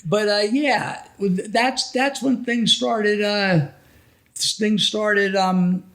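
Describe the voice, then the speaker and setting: A middle-aged man with an American accent speaks in a notably high register. He reminisces casually as a podcast guest, picking his way through his stories in a halting, conversational manner. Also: fairly even intonation, fairly bright tone. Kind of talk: casual reminiscing interview talk.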